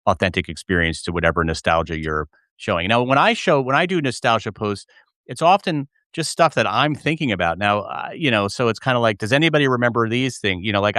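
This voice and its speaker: A man's voice: on the low side, a bit bright, dry recording, studio quality. A middle-aged American man hosting a casual chat interview. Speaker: show host.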